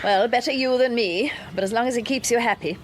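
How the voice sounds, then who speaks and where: An actress playing a brisk, mothering English housewife in a radio drama, indulgent of her husband. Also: on the high side, slight background noise, close to an everyday voice. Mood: brisk, fussing.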